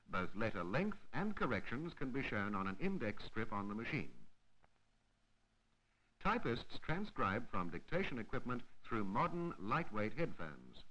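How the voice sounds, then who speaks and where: Bright-voiced man narrating, lively pitch. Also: rough recording. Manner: formal, instructional. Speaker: educational film narrator. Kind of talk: 1960s educational film narration, scripted.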